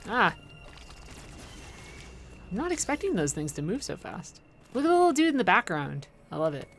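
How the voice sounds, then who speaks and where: A woman's voice: medium pitch, very animated, fast talker, gravelly, a little hiss or hum. A woman doing casual livestream game commentary, chatting informally with viewers and rambling into playful asides. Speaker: livestream host playing a video game.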